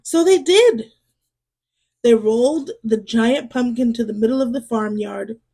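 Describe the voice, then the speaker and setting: A woman reading a children's storybook aloud in an expressive way, as a storyteller speaking to young listeners. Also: fairly high pitch, unhurried, slightly rough. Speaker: storyteller and read-aloud host.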